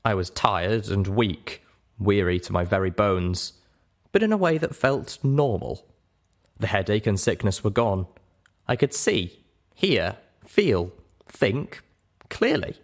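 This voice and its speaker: A male audiobook narrator with a lowish voice and very lively intonation. He tells a first-person story dramatically, leaning into it with theatrical expression. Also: slow pace.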